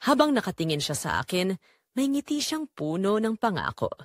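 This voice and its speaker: A woman's voice: medium pitch, sing-song, moderate pace, no echo, studio quality. A woman with a Filipino accent narrating a scripted first-person drama in an earnest, emotive way.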